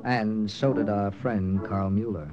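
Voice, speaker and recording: A man's voice: on the low side, steady tone, unhurried, dull audio, lightly acted. An actor playing a tough, terse wartime secret agent captain in a clipped, dramatic 1940s radio style, narrating his mission. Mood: steady. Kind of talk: 1940s radio acting, hard-boiled first-person narration. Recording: old radio recording with music.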